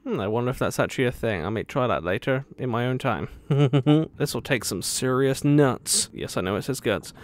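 A man's voice: mid-range voice, animated, brisk, no room sound. A man giving casual, joking let's-play commentary, playful and quippy with sing-song asides. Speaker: video game let's-player. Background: game music.

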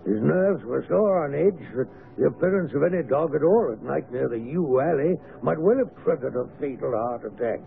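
An actor playing an affable, eccentric naturalist neighbour with a sinister edge, chatty and courteous, in a radio drama. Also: male voice, on the high side, lively intonation, normal speed, vocal fry, hardly any pauses. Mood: genial, probing. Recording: noisy phone-like vintage radio broadcast.

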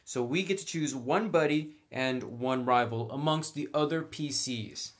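A man's voice: mid-range voice, unhurried. A man hosting a casual tabletop roleplaying tutorial, talking informally and thinking aloud as he goes.

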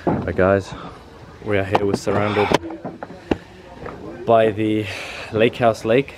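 A man's voice: lowish voice, level tone, slow pace. A young man talks playfully to his viewers while holding the camera himself. He is outdoors on a lakeside raft house, and heavy background noise runs under his chat. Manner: chatty, playful, greets viewers directly. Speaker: travel vlogger. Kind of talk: casual travel vlog. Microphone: handheld camera at arm's length.

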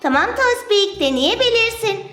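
A sensible older girl put on by a performer with a high voice, minding little ones in a children's toy skit. She is patient and indulgent, chiding gently. The room echoes and music plays behind. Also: animated, normal speed, noisy background. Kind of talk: children's toy play skit, acted dialogue. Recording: roomy echo with music behind.

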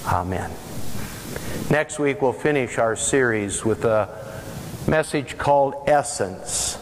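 An older male pastor preaches slowly and earnestly, leaning on long pauses. His mid-range voice carries the long ringing tail of a big sanctuary. A faint hiss sits under the recording. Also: medium pitch, lively intonation, long reverb tail. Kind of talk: sermon. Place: large church sanctuary, preaching from the chancel.

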